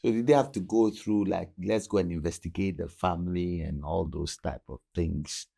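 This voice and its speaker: Low gravelly guy hosting a chat, kinda husky, keeps restarting his words. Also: fairly even intonation, mellow. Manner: halting, repeats words while searching. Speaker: podcast host. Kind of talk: casual interview chat.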